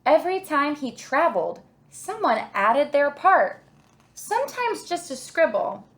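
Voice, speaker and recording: Woman, kindergarten teacher, fairly high voice, very unhurried, expressive picture-book storytelling. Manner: expressive, deliberate storytelling for young children. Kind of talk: children's picture book read-aloud.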